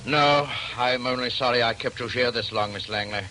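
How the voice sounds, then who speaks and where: An actor playing a polite, determined police inspector in clipped 1940s radio drama style. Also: male voice, mid-range voice, moderate pace, music in the background, natural voice. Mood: grimly determined. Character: dutiful, determined male police inspector on a murder hunt. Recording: noisy old radio broadcast.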